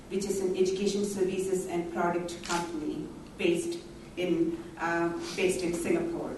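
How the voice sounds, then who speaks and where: A woman's voice: fairly low pitch, slight background noise. A middle-aged woman from India, telling her story in earnest at a conference panel. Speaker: panel speaker. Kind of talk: conference talk. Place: large conference hall. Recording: very echoey hall from far off.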